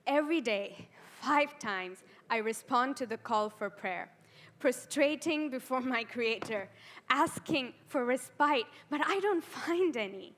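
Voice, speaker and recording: Slow, anguished teenage girl, acted by a woman. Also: sits high, lively intonation, fairly bright tone. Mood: despairing. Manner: slow, deliberate, with heavy pauses and swelling emphasis. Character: frightened, ashamed adolescent madrasa girl, quietly anguished. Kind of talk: dramatic stage monologue.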